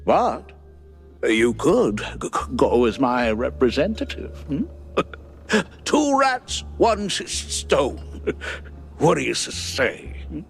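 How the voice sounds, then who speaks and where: An actor playing a shifty but genial mouse politician in a cartoon game, with a heavy stutter and chummy, halting talk. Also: male voice, mid-range voice, big pitch swings, gravelly, faint music behind, full character voice. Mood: flustered, scheming. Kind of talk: cartoon video game voice acting.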